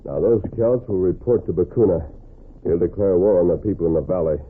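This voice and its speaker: Man acting a deep, commanding masked cowboy hero. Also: a little fast, natural voice. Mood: calm, resolute. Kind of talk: 1940s radio acting. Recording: muffled, noisy 1940s radio recording.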